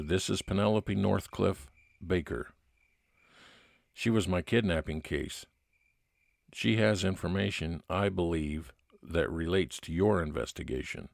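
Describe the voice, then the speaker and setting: A man playing a level-headed, determined 1950s private investigator, narrating in a measured old-time radio detective style. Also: low voice, vocal fry, no echo, studio quality.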